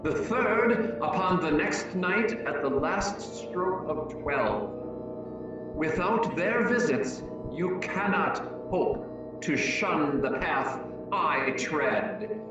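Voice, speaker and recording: A man playing a tormented ghost in a dramatic reading, giving ominous warnings in a slow, theatrical wail. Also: on the high side, lively intonation, highs cut off. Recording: echoing, distant voice with music.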